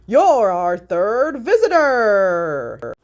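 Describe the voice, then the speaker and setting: A woman voicing a jolly, booming announcer voice from the ceiling speakers. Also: big pitch swings, moderate pace, warm tone, breathy edge.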